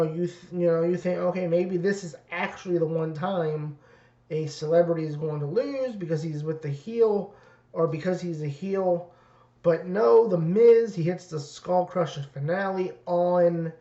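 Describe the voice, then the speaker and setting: Raspy guy rambling slow about wrestling, lots of you knows, muffled roomy sound. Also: high voice, darkish tone. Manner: rambling, many fillers and restarts. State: raspy voice from two nights of events. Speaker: wrestling reviewer. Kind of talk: casual solo review. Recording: dull roomy lo-fi audio.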